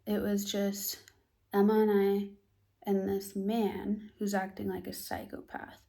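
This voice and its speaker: A young American woman telling a tense personal story in a casual vlog, animated and often dropping to a hushed near-whisper. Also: mid-range voice, slow pace, vocal fry. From United States. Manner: animated storytelling, acts out both sides of a dialogue.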